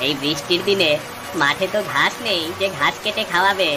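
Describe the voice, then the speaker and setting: A woman's voice: fairly low pitch, a little fast, noisy background, natural voice. A woman voicing a talkative, needling village neighbour man in a moral cartoon. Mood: teasing.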